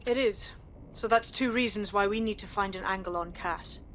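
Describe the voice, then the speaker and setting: A woman's voice: mid-range voice, moderate pace, fairly bright tone, slight background noise, lightly acted. A woman playing a serious, determined resistance leader in a video game cutscene, earnest and businesslike. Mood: concerned, thoughtful.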